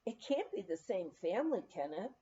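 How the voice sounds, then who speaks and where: A woman's voice: mid-range voice, sing-song, moderate pace, warm tone, highs cut off, natural voice. A woman reading the part of a dignified, portly, puzzled male scientist in a formal, questioning manner.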